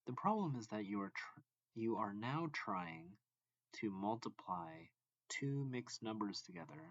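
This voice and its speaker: A man reading a math lesson aloud as a teacher, deliberately and step by step, phrase by phrase. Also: mid-range voice, big pitch swings, vocal fry, a bit bright, no room sound, lo-fi sound. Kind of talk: slow read-along lesson narration.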